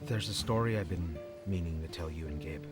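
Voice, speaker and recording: A man's voice: deep voice, fairly quick, loud music behind the voice, close to an everyday voice. An actor playing a wry, jokey man with a troubled past, talking in a casual, slangy, hesitant way in an audio drama. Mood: subdued, candid. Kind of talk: acted audio drama dialogue.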